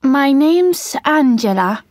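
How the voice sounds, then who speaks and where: Young italian woman, high voice, super slow careful answers, dry sound. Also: animated, a little mellow, dry recording. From Italy. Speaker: exam candidate. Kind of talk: scripted exam answers.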